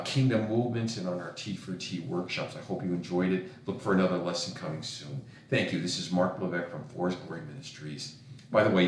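A man's voice: sits low, a little hiss or hum. A middle-aged man, a pastor, teaching a recorded lesson in an earnest way, often restarting phrases, recorded on a webcam in a home office. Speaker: pastor and ministry trainer. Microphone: webcam on a screen recording. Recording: very echoey room, voice far from mic.

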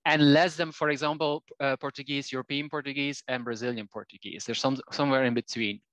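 A man's voice: mid-range voice, fairly even intonation, fairly quick, a bit bright, no room sound, low-quality recording. A Belgian man in his forties whose first language is Flemish Dutch, a language teacher speaking as a guest on a video call, often restarting and repeating words. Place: small home room with shelves. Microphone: headset on video call.

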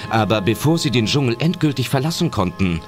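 A man's voice: mid-range voice, expressive, fairly quick. A man reading scripted narration for an adventure audio drama. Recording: loud music under the voice. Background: loud film-style music and effects.

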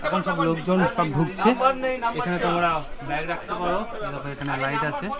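High-pitched Bengali-accented man vlogging amid loud crowd noise. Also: fast. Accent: Indian Bengali. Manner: explanatory, often checking with "okay?". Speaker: travel vlogger. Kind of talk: casual vlog narration to viewers. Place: busy railway station and train carriage. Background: crowd chatter and railway noise.

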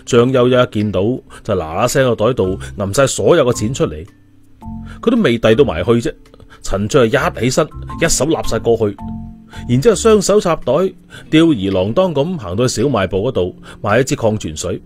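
A man narrates an audiobook in an expressive, storytelling style. His intonation is lively and his pitch sits in the middle, over faint music. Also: normal speed.

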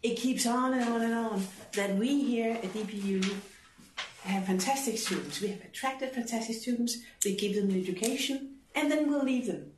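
A woman's voice: on the high side, normal speed, darkish tone. A middle-aged woman who works at a university, commenting in a seminar discussion. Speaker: university staff member in discussion. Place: classroom, indoors. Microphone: distant room microphone. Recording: distant, echoey room with clear noise.

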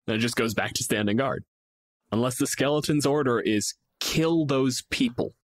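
A man's voice: mid-range voice, moderate pace, dry recording. A man co-hosting a casual, unscripted chat about tabletop games, swearing now and then and playing out hypotheticals. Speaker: podcast co-host.

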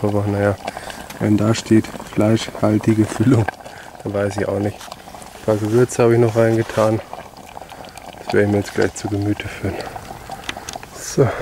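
A man's voice: fairly low pitch, background noise. A young man casually talking through his cooking outdoors in a forest, in a laid-back, wry way, recorded on the camera mic. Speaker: bushcraft video maker.

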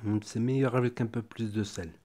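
Guy with a cold tasting food at home, lowish voice, easygoing chatter. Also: fairly quick. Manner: relaxed, chatty, thinking aloud with fillers. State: has a cold. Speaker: food reviewer. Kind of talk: casual product tasting review. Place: home kitchen table.

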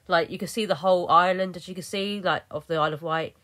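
A woman's voice: fairly low pitch, fairly even intonation, fast talker, a bit bright. A young woman narrating her vlog footage in a chatty, rambling way, full of fillers and restarts. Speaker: vlogger. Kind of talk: casual voice-over narration.

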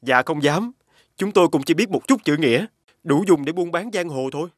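Humble, higher-pitched young merchant. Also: male voice, animated, a little fast, dry recording. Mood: respectful. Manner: gentle, respectful. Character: humble, kind elder brother, traveling medicine seller. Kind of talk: animated fairy-tale dubbing.

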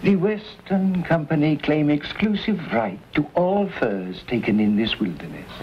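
A gentle old Cajun parish priest. His fairly high voice rises and falls a lot, on a muffled vintage western soundtrack. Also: big pitch swings, unhurried, slight background noise. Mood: cautious, regretful. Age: older. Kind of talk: 1950s TV western acting.

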